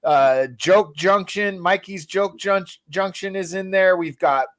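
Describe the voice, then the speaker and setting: A man's voice: high-pitched, measured pace. A man chatting casually on a livestream as a guest promoting his show.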